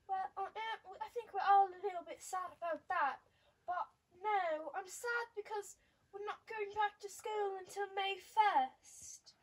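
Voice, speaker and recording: A man's voice: very high voice, a little slow, far from the mic, pitched above the performer's own voice, low-quality recording. A man voicing a glum, fretful female puppet character who complains plaintively, heard slightly away from the mic. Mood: sad, worried.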